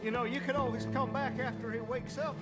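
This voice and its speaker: An actor playing a deranged middle-aged gunsmith who sounds falsely friendly and wheedling. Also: male voice, very high voice, fairly even intonation, a little fast, loud music behind the voice. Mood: evasive.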